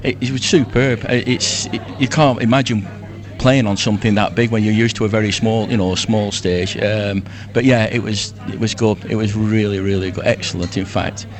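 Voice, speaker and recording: A man's voice: fairly low pitch, fairly even intonation, normal speed. A man in his sixties with a Lancashire accent, chatting effusively as an interview guest and often repeating himself. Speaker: interview guest, amateur pantomime performer. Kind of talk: casual live radio interview.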